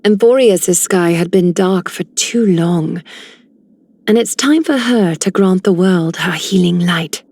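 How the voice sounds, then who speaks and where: A woman voicing a poised, graceful lady in a video game, measured and elegant in delivery. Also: lowish voice, a little mellow, no room sound. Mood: serene. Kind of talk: scripted game character lines.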